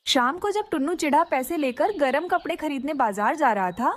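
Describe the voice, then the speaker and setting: A woman's voice: high-pitched, expressive, moderate pace. A woman narrating a scripted children's cartoon story.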